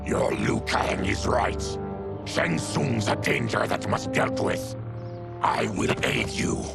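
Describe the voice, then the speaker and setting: Game warrior: heavily gravelled, slow, dignified and stern, loud music behind. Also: male voice, lowish voice, animated, heavily acted. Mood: grave. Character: grave, dignified afflicted male warrior from another realm. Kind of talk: video game cutscene acting.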